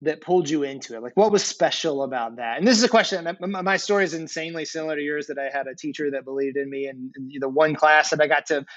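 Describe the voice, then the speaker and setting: Higher-voiced male interviewer, dry muffled sound. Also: quick pace, hardly any pauses, a bit bright, no echo. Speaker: podcast host, interviewer. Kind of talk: casual conversational interviewing.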